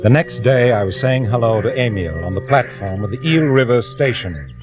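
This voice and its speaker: An actor playing a quietly scheming impostor in a 1940s radio drama, measured and confiding in his delivery. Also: medium pitch, animated, close to an everyday voice. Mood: calm, calculating. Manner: measured, confiding first-person narration. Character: quietly scheming male clerk turned impostor, narrating his plot. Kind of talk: 1940s radio acting, scripted narration. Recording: muffled old radio, music behind.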